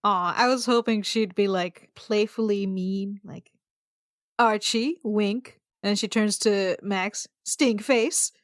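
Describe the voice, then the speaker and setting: A woman's voice: mid-range voice, steady tone, takes it slowly, no room sound, studio quality. A woman chatting casually and improvising in character as a player in a tabletop role-playing game.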